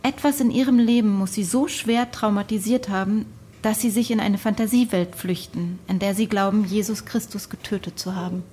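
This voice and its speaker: Eine Schauspielerin spielt im Hörspiel eine gefasste Psychologin, sachlich und nachfragend. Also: female voice, mid-range voice, steady tone, moderate pace, mellow, slightly rough, lightly acted. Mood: composed, probing. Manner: matter-of-fact, probing. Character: composed, clinical female psychologist. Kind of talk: radio drama dialogue.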